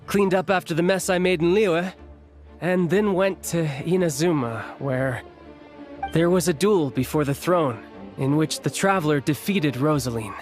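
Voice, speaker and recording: An actor playing a cordial young male high-ranking agent in a video game, talking in a casual, glib way. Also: measured pace, lightly acted. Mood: reluctant explaining. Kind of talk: video game cutscene acting. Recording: background music under the voice.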